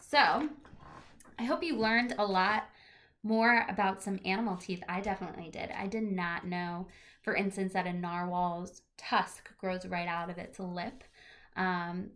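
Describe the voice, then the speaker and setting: A woman's voice: medium pitch, fairly bright tone. A woman teacher reading a children's nonfiction picture book aloud, stressing key words expressively and adding casual asides.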